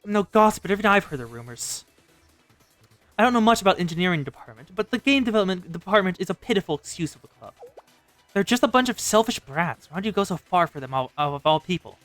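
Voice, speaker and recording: A woman's voice: on the low side, lively intonation, fast, dry recording, faint music behind, natural voice. A woman voicing a frustrated, disdainful girl fighter from a game, with an indignant, questioning manner.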